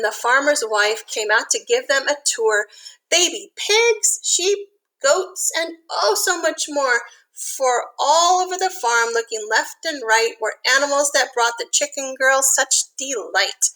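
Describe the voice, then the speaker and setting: Female storytime reader, high and bright, animated sing-song delivery, talks directly to young kids. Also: low-quality recording. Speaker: elementary school teacher reading to children. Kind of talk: expressive children's picture book read-aloud with asides.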